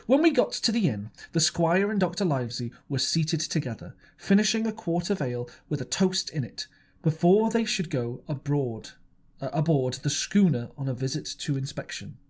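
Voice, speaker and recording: Male audiobook narrator, expressive, slightly high voice with lively pitch. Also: normal speed. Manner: expressive storytelling. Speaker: audiobook reader. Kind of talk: reading a novel aloud.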